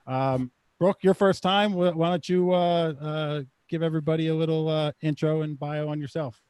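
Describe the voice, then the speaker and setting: A man's voice: a little high, steady tone, normal speed, a little mellow, smooth, no room sound. A man hosting a live show, talking loosely with lots of fillers. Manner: loose, filler-heavy, friendly. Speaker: host.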